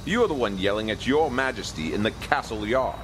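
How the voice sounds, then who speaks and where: A man's voice: fairly low pitch, animated, fairly quick, fairly bright tone, a little hiss or hum, rough recording. A man playing a pompous, dim-witted, stubborn king in a comedic audio drama, speaking in blustering, formal phrases. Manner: pompous, blustering, formal diction without contractions.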